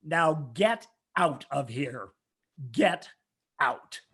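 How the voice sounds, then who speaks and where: Man playing a slow, high-voiced sneering old villain. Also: expressive, fairly bright tone, breathy edge, faint music behind, exaggerated voice. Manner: slow, contemptuous. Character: cold, sneering old rich villain, male. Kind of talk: old-time radio drama acting.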